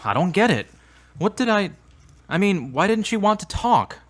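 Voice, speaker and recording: Man playing an earnest, animated young man. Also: high voice, moderate pace. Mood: frustrated. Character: earnest, frustrated young man seeking God. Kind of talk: audio drama acting.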